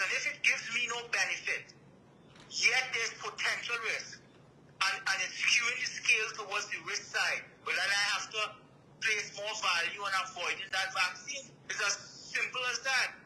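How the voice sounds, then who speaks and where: A man's voice: high voice, pushed and bright. A man with a Trinidadian accent speaking as a guest on a remote call, blunt and insistent, explaining by posing questions. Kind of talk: persuasive explanatory talk. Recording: thin, noisy remote call.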